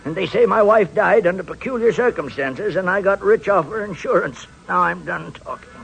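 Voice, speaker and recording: A man's voice: sits high, normal speed, gravelly, fairly bright tone, muffled recording. An actor playing a frail, boastful, wicked old man, rambling and gloating in a wheezy voice in a 1940s radio drama. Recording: old, faintly noisy radio recording.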